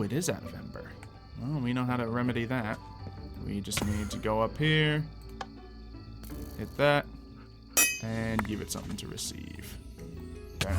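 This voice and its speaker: A man with a mid-pitched voice commentates on gameplay, with music clearly playing underneath. He thinks aloud as he works through each step. His delivery is playful, given to exaggerated exclamations. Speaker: gaming video host. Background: background music.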